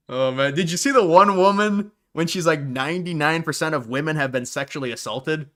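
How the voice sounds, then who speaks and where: Halting man chatting on a live stream. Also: fairly high pitch, fairly bright tone. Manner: halting, restarts and repeated words. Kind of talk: casual live commentary on clips.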